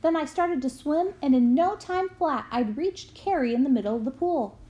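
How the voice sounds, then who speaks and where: A woman with a voice on the high side reads a story aloud. Her delivery is expressive storytelling, made for young listeners following a book. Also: normal speed, highs cut off. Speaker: book reader. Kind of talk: expressive read-aloud of a children's memoir.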